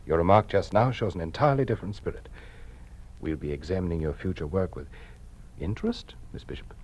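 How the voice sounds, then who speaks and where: A man's voice: low-pitched, lively intonation, fairly quick, a little mellow, slight background noise. An actor playing a condescending, formal male official of a totalitarian regime in a 1950s radio drama.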